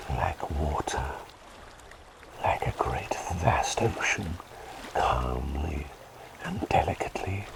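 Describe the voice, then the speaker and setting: A man playing a gentle, doting plague doctor in an ASMR roleplay, speaking in soothing, slightly formal, breathy whispers. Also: rumbling low, full character voice. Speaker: ASMR performer.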